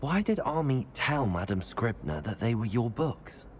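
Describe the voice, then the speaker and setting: A male actor playing a composed, helpful young student wizard, speaking in a measured, courteous way in a video game. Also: mid-range voice, sing-song, darkish tone, a little hiss or hum. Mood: composed, curious.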